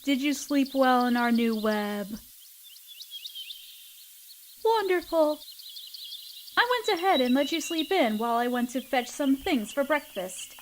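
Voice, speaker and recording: A woman playing a motherly spider queen in a roleplay audio, speaking tenderly and patiently with gentle teasing and formal wording. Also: high voice, very animated, normal speed, a little hiss or hum. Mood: affectionate teasing. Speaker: mother figure teaching a language. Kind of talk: roleplay audio, softly acted monologue.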